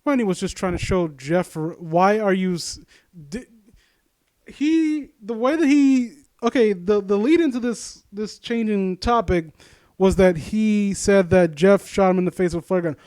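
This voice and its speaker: A man's voice: high-pitched, animated, unhurried, a little mellow, clean tone, dry recording. A man giving casual, blunt commentary as he reads and critiques a story, often stammering and rephrasing, with some swearing. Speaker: host of a story critique series. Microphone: new handheld microphone, uneven distance, voice drifts soft. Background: music in the background.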